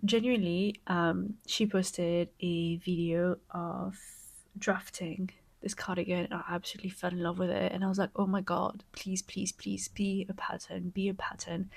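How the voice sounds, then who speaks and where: A young woman knitting vlogger, congested from a cold, chatting excitedly to camera and sometimes slipping into a soft whisper. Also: on the low side, moderate pace, gravelly. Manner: gushing and excitable, often dropping to a soft whisper. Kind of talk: casual vlog chat to camera.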